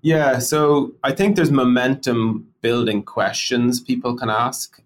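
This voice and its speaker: A man with a middle-pitched voice talks through ideas in a loose podcast conversation. He gets animated, stacking rhetorical questions and voicing what colleagues might say. A faint hiss sits under his voice. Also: takes it slowly. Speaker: podcast co-host discussing product.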